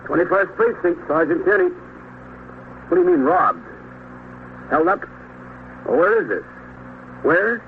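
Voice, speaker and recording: Thin, lightly noisy old radio audio of a desk sergeant. He is urgent and clipped, with a raised, very animated voice in 1950s police drama style. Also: sits high, measured pace, pauses often, full character voice. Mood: urgent, brisk. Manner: clipped, questioning. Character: urgent male desk sergeant taking emergency calls. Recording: tinny, slightly noisy old radio recording.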